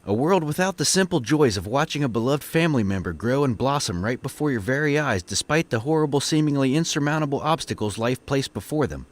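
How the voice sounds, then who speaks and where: A man's voice: mid-range voice, animated, fairly quick, few pauses, close to an everyday voice. A man playing an earnest, dim-witted young country fellow, polite and pompous, in a comedic audio drama. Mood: grandiose, rousing.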